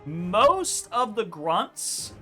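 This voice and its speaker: Lively high-voiced male game master. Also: drawn-out words, faint music behind. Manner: animated, casual, swears freely, trails off with filler words. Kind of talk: narrating a tabletop game online.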